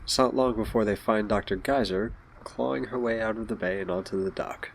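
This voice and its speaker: A man's voice: on the low side, fairly quick, creaky, slight background noise. A man reading scripted story narration for an audio drama. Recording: music behind.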